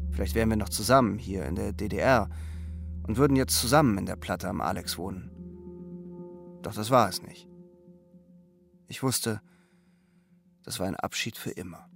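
Low-voiced, disillusioned double agent. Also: male voice, gravelly. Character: disillusioned male double agent telling his own story. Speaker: actor narrating a crime radio drama. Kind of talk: German radio drama narration and dialogue.

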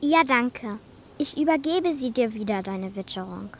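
High-voiced earnest young girl. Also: animated, measured pace, faint music behind. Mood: grateful. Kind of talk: children's radio play acting.

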